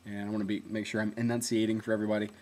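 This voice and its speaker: Deep-voiced young man telling a story. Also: completely flat delivery, rapid-fire, dark tone. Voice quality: deep voice that swallows words at times. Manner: chatty storytelling with fillers and self-corrections. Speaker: former reality show contestant. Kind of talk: casual video diary.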